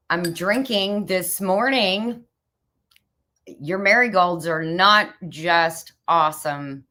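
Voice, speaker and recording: A middle-aged woman, a little raspy this morning, chatting casually as a livestream host and greeting viewers by name. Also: on the low side, a bit bright. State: slightly raspy from a chill.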